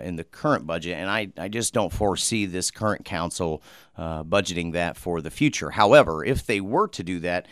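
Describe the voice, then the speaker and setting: A man, a mayoral candidate answering interview questions earnestly, often pausing with fillers and restarting his sentences. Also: low voice, a bit bright, smooth, no echo, studio quality. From Muncie, Indiana.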